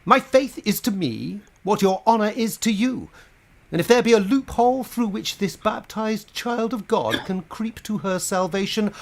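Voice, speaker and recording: A man acting a proud medieval French bishop in a radio play. He speaks with righteous force and indignation, his rhetorical delivery swinging widely in pitch. The zeal is formal and theatrical. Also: expressive, moderate pace, gravelly. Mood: earnest, firm. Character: proud, zealous French bishop of the Middle Ages. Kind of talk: stage-style radio drama acting.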